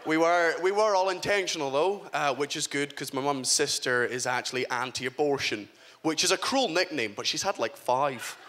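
A man's voice: fairly high pitch, normal speed, fairly bright tone, a little hiss or hum. A 21-year-old man doing a stand-up set, delivering dry, self-deprecating punchlines on stage. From Scotland. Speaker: stand-up comedian. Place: comedy stage before a live audience.

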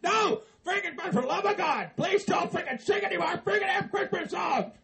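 A man playing a gravelly, cursing Christmas-hater. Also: very high voice, lively intonation, moderate pace, over a noisy crowd, exaggerated voice. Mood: blustering. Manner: profane, blustering, exasperated. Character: grumpy cursing middle-aged man who hates Christmas. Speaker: comedy character.

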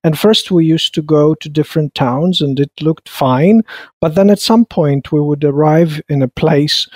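Earnest man with a slightly higher voice. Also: unhurried, dry recording. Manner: earnest, describing as a civilian. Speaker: interviewee. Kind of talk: personal account in conversation.

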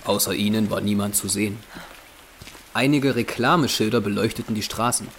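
A man's voice: fairly low pitch, brisk, fairly bright tone, slight background noise. A man narrating an audio drama from a script.

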